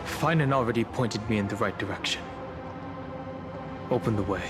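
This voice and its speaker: A man's voice: lowish voice, normal speed, over music, lightly acted. Terse, stoic young warrior played by a man. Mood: resolute. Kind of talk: video game voice acting.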